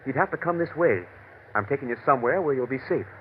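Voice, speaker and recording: Actor as protective stubborn rancher guy, firm urgent mid-pitched voice, vintage radio play, faint hiss. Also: animated, fast, lightly acted. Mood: insistent. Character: stern, protective rancher husband, stubborn and anxious. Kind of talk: old-time radio drama acting. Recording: noisy, tinny vintage radio recording.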